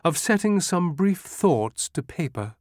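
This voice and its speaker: A man's voice: high-pitched, big pitch swings, unhurried, darkish tone, no room sound, studio quality, voice pushed up. A man putting on a pompous, fussy colonel. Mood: earnest, fawning. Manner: wordy, hesitant, awestruck. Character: pompous, fussy, gushing foreign colonel, middle-aged man.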